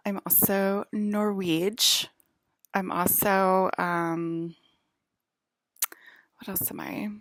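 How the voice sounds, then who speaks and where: A woman's voice: medium pitch, fairly even intonation, very slow, long pauses, fairly bright tone, dry recording. A woman in her forties from New Jersey, rambling through a casual, chatty monologue that keeps breaking off and slipping into whispered asides. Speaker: vlogger.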